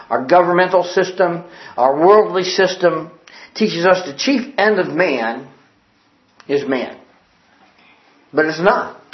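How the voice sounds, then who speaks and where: Earnest preacher, echoey lo-fi sound. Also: male voice, fairly high pitch. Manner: earnest, emphatic preaching with repeated phrases; quotes old-fashioned scripture wording. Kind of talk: sermon. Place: church building.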